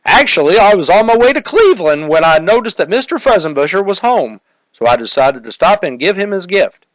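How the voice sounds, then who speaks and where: A man's voice: high-pitched, sing-song, brisk, no room sound, voice pushed up, rough recording. A man voicing a jolly but easily indignant old Santa Claus in a comic detective parody. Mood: explaining.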